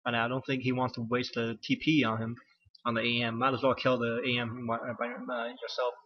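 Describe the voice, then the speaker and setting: A man's voice: mid-range voice, level tone, a little fast, dull audio. A man giving solo play-by-play commentary on a strategy game, losing his voice and often correcting himself with fillers. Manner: rambling, self-correcting, frequent fillers.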